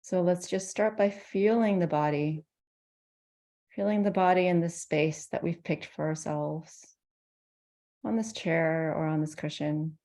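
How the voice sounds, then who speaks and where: Gentle, mellow woman guiding meditation. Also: deep voice. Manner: gentle, soothing, with unhurried pauses between phrases. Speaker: meditation teacher. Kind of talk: guided meditation.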